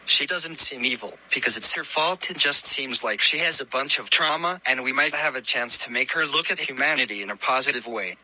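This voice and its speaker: A man's voice: mid-range voice, fairly quick, few pauses, pushed and bright, dry recording, poor audio. A man playing an earnest, determined would-be demon redeemer in an amateur audio drama. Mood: exasperated.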